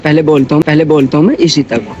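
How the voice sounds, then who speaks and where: Bashful young man, higher-pitched voice. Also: fairly even intonation, quick pace. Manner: bashful, playful. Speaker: game player being pranked. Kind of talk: casual chat. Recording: muffled game voice chat, loud music behind.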